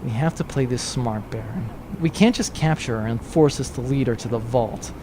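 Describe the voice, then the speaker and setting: A man playing a cool, calculating schemer in a space opera audio drama, speaking in a measured, grave and controlled way. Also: medium pitch, background noise, natural voice. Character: cool, calculating male schemer hunting a treasure vault.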